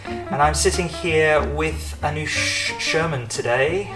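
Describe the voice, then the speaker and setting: A man's voice: on the high side, takes it slowly, over music. Hesitant male podcast host. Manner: hesitant, many fillers and restarts. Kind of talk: casual conversational interview. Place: home kitchen.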